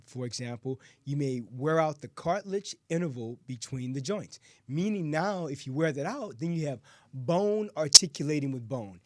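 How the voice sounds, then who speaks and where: A man's voice: medium pitch, a little mellow, no echo, studio quality. A man hosting a health podcast as a physician, explaining medical topics in a conversational way and sometimes correcting himself mid-sentence. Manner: explanatory, with frequent self-corrections. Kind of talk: health talk and interview.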